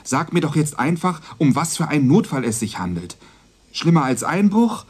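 Exasperated young police dispatcher, husky voice. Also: male voice, fairly high pitch, very animated, moderate pace, darkish tone. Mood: pleading, worried. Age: young adult. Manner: pleading, official, exasperated. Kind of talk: German radio crime drama acting.

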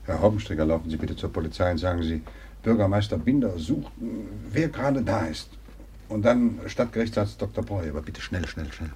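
An actor playing a grave, authoritative mayor in a radio drama, speaking formally and emphatically. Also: male voice, deep voice, expressive, fairly quick, warm tone, slight background noise. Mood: urgent. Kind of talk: German radio drama acting.

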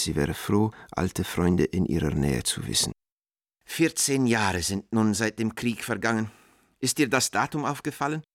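A man's voice: lowish voice, a bit bright, no room sound, clean studio sound. An actor playing an affectionate, loyal middle-aged man in a radio play, reading out his letter in a reflective, tender way. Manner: reflective, tender, literary phrasing.

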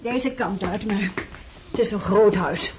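A woman's voice: medium pitch, measured pace, darkish tone, a little hiss or hum, lightly acted, poor audio. An actress playing a kindly, flustered noblewoman in a radio play, effusive in welcome and hesitant when worried. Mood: welcoming. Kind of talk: Dutch radio play acting.

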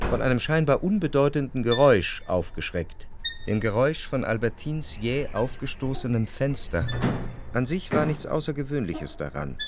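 An actor playing an anxious, jealous lover who recalls his life in measured, introspective radio drama narration. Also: medium pitch, noisy background, lightly acted. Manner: measured, introspective, literary phrasing. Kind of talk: literary radio drama narration with quiet dialogue.

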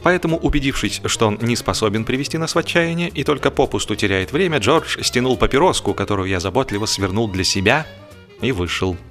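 A man reading a humorous novel as an expressive audiobook narrator, with wry, theatrical phrasing. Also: mid-range voice, a little fast, faint music behind.

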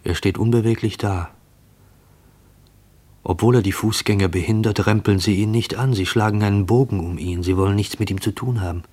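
A man's voice: on the low side, close to an everyday voice. An actor playing a fearful man shadowing a stranger, in a tense, questioning radio play monologue. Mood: dread, suspense. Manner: tense, hushed, questioning, deliberate.

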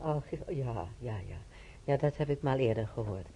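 A frail old woman in a naturalistic radio play, grateful yet fretful. Her voice is very dark and creaky, and she murmurs hesitantly, repeating herself. The old recording has noticeable noise behind her. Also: rumbling low, animated, moderate pace. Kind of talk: naturalistic radio drama acting.

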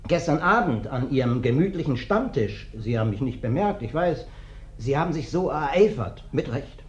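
An actor playing a sly, ingratiating vagrant guest in a German radio play, flattering and theatrical. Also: male voice, mid-range voice, normal speed, natural voice. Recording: dull, roomy old radio recording.

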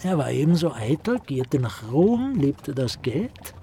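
Animated, pompous literary schemer. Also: male voice, on the high side, a little slow, creaky, slight background noise, heavily acted. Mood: pompous mourning. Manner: pompous, rhetorical, swinging to muttered asides. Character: Herr Hund, opinionated, ingratiating literary man, slyly malicious. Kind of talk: German radio play acting.

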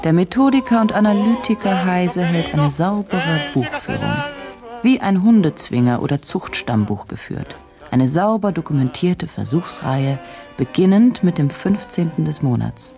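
An actress playing an intense, probing female journalist in a radio drama, speaking in deliberate, clipped, analytical phrases. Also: fairly low pitch, lively intonation, gravelly, music in the background. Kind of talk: German radio drama acting.

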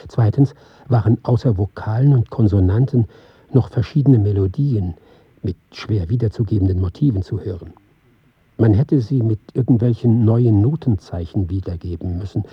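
An actor playing an earnest, reflective film pioneer, giving a measured, formal radio drama monologue in a husky voice. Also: male voice, lowish voice, dry recording, dull audio. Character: earnest, reflective male film pioneer recounting his experiments. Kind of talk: scripted radio drama monologue, read as lecture.

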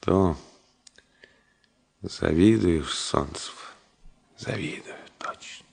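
A man's voice: sits low, very slow, creaky, a little mellow, breathy edge, exaggerated voice. A man playing a middle-aged engineer father in a radio drama, tender and wry, speaking in short, wistful, joking phrases. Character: ailing engineer father, tender, wry, hiding his worry. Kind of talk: Soviet radio drama acting.

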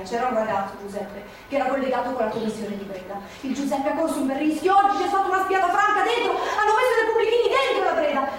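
A woman's voice: sits high, close to an everyday voice. An actress playing an elderly Milanese former partisan woman in a theatrical monologue, nostalgic, earthy and rambling, in colloquial speech. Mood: urgent, grieving. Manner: colloquial, rambling, repeating herself. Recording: echoey theatre, distant mic, faint noise.

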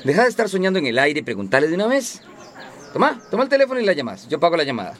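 Un actor con acento centroamericano que interpreta en una radionovela a un amigo de la familia generoso y bromista. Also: male voice, a little high, huge pitch swings, very fast, a bit bright, slight background noise. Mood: generous, teasing. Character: kindly, generous male family friend, teasing. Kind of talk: radio drama acting.

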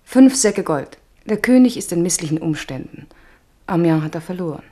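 A woman's voice: fairly low pitch, animated, normal speed, warm tone. A poised noble lady. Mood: resolute. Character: noble lady, the king's beloved, composed. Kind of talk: 1970s radio drama acting.

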